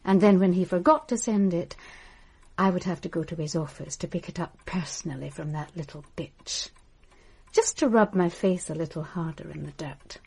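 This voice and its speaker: An actress in a radio drama playing a scornful, bitter estranged wife with a caustic, haughty manner. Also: female voice, on the low side, moderate pace, gravelly, dark tone, slightly rough.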